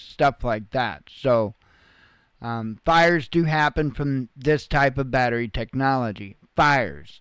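A man's voice: mid-range voice, fairly bright tone, clear, resonant tone, no echo. A man giving informal news commentary as a channel host, explaining things in a folksy, plain-spoken way.

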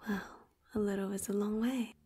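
A woman voicing a devoted, possessive young female robot assistant in an ASMR roleplay, speaking in a soft half-whisper and calling the listener Master. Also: medium pitch, steady tone, normal speed, breathy edge, close to an everyday voice. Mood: tender. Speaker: voice actor.

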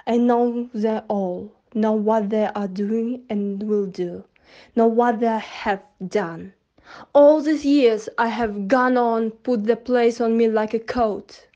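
Slow, mellow young woman reading aloud, dull recording. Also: fairly high pitch, fairly even intonation. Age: young adult. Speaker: student reader. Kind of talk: reading literary prose aloud. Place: indoor room in front of curtains.

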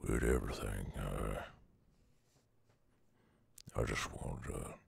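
A man playing a weary, centuries-old werewolf with a gruff Southern drawl, halting and tender in an intimate ASMR roleplay. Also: a bass voice, steady tone, full character voice. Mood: hesitant, tender. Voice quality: very gravelly, husky growl. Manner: halting, brooding drawl with long pauses. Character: gruff weary three-hundred-year-old male werewolf, guarded yet tender.

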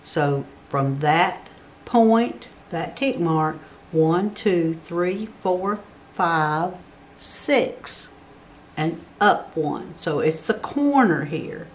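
A woman's voice: lowish voice, lively intonation, very slow, a little hiss or hum. An older woman giving a step-by-step drawing tutorial, patiently counting grid squares aloud as she works at a table. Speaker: craft instructor. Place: indoor work table.

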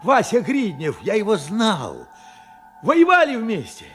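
An actor in a Soviet radio play playing an astonished old war veteran, speaking haltingly and urgently in an aged voice. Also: male voice, sits high, huge pitch swings, a bit bright, a little hiss or hum, exaggerated voice. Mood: agitated, moved. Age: older. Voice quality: husky, old-sounding.

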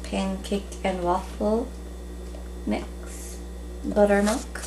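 A woman in her thirties chatting casually in a relaxed way with many ums, recorded at home on a camera at a table. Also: medium pitch, drawn-out words. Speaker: vlogger. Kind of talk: casual haul video chat. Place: small room at home, indoors. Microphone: camera in front at table. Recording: noisy home webcam recording.

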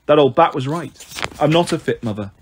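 A man's voice: fairly high pitch, lively intonation, fairly quick, slight background noise, lightly acted. A man voicing a volatile, fragile mother in a storybook, coaxing or defeated. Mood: flat, defeated.

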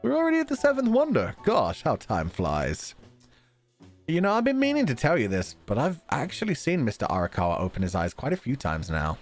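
A male game streamer with a very animated, swooping delivery. He reads dialogue aloud theatrically and comments in a playful, joking way, with game music faintly behind him. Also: medium pitch, brisk.

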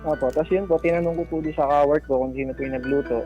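A Filipino man calling in to share his story, speaking in a hesitant, polite, halting way. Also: on the high side, fairly even intonation, muffled recording. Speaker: caller sharing his relationship story. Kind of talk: casual phone-in storytelling. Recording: loud guitar music under voice. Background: loud plucked guitar music.

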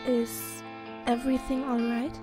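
A young female pony , played by a woman, talks in a fairly high voice. She is the skeptical, caring friend in an audio story, with soft music behind her. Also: little pitch movement, measured pace, lightly acted. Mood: skeptical, amused. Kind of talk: audio story character dialogue.

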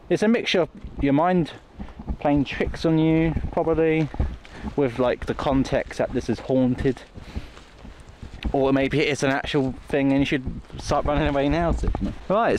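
A man's voice: fairly high pitch, a little mellow, rough recording. Casual man vlogging outdoors over background noise. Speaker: video host exploring haunted places. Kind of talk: casual vlog chat to camera. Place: outdoors on a woodland walk. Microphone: handheld phone.

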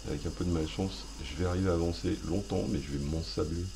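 A man with a deep, low voice talks over a game he is playing. His commentary is rambling and self-mocking, full of playful asides. Game sounds run clearly underneath. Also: fairly even intonation, fast talker, background noise. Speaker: gaming video host. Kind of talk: joking let's play commentary.

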